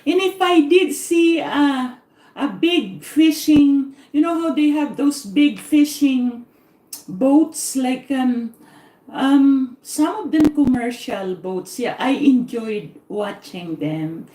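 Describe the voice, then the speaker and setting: Slow-talking Filipino woman, echoey room. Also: high-pitched, lively intonation, warm tone. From Philippines. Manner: rambling, repetitive, laughs often. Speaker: cooking vlogger. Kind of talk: live-stream casual chat.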